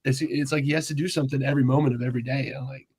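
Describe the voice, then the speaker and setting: A man's voice: mid-range voice, fairly even intonation, racing, darkish tone, slightly rough. A man co-hosting a casual podcast chat, easygoing and self-deprecating as he tells stories. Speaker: podcast co-host.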